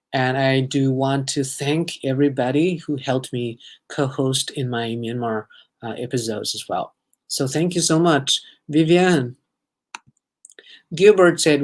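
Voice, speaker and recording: A young man from Thailand with a Thai accent, hosting a casual live stream, chatting with viewers and reading their comments aloud. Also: medium pitch. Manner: chatty, reads out viewer comments, sprinkles in foreign phrases. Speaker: livestream host.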